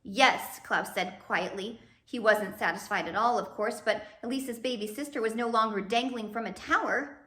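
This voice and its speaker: Female storybook reader, dramatic and expressive, bright tone, echoey room. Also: on the high side, moderate pace. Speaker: teacher reading a children's novel aloud. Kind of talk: animated storybook read-aloud.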